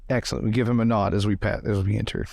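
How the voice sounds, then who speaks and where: A man with a creaky voice chatting casually as a player in a tabletop role-playing game. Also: lowish voice, steady tone, rapid-fire, darkish tone, no room sound, studio quality.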